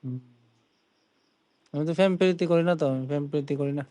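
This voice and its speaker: A man's voice: fairly high pitch, fast, dark tone, no echo. A young man chatting casually on a phone call, brusque and teasing, given to exclamations. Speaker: online video creator taking a call.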